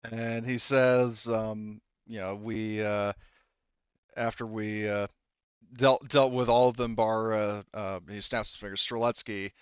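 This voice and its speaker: A man working as game master, improvising tabletop game narration in a halting, rambling, informal way. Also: on the low side, flat delivery, clear, resonant tone, dry recording, highs cut off.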